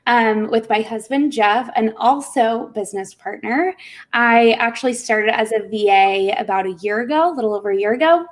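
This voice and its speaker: Upbeat, chatty woman with a higher voice. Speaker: business owner guest. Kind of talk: casual video call panel. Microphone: video call.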